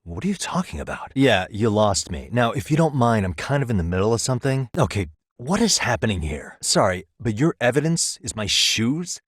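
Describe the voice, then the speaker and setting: A man's voice: mid-range voice, big pitch swings, fairly quick, few pauses, husky, no room sound. A male actor with an American accent playing a wry, easygoing private detective in a video game, talking in a dry, casual, persuasive way. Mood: wry, defensive. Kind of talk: scripted game dialogue, conversational.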